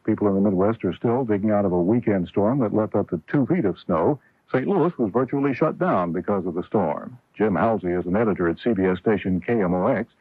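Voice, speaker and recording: Low-voiced American radio newsman, dull vintage sound. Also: fairly quick, breathy edge, no echo. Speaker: radio news anchor. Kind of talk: scripted radio newscast.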